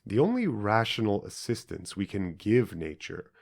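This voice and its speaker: A man reading an audiobook as a scripted narration, in a measured, formal manner with short, separated phrases. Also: fairly low pitch, very animated, creaky.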